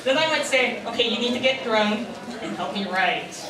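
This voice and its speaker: A woman's voice: a little high, animated, normal speed, echoey room. A woman talking conversationally on an informal panel, recorded from a distance in a noisy room. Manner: conversational, acts out quoted remarks. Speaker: convention panelist, fan-dub writer and editor.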